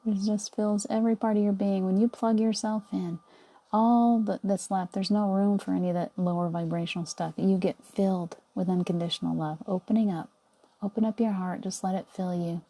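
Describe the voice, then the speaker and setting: A middle-aged woman leading a guided visualization meditation in a soothing, coaxing way, often dropping to a soft whisper. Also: mid-range voice, fairly even intonation, a little fast.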